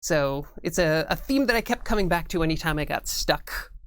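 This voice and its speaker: A woman's voice: deep voice, animated, moderate pace, no room sound. A woman speaking as a guest writer in a casual interview, with a dry, joking, self-deprecating manner. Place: studio.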